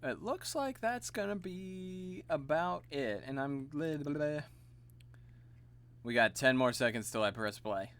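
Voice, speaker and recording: A man streaming live, chatting casually and laughing at himself, his voice slightly gravelly and tired. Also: on the high side, expressive, unhurried, a bit bright. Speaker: streamer and voice actor.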